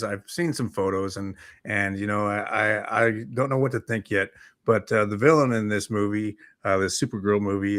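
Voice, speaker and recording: A man's voice: on the low side, fairly bright tone, no echo, studio quality. A man chatting casually as a talk show co-host, rambling and hesitant as he works through his thoughts. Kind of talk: casual chat about films and comics.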